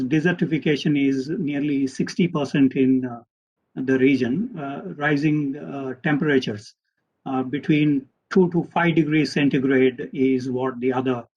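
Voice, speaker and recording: A man's voice: medium pitch, a little mellow, breathy edge. A man moderating a panel discussion, polite and hesitant, with heavy use of fillers between words. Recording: dull lo-fi call audio.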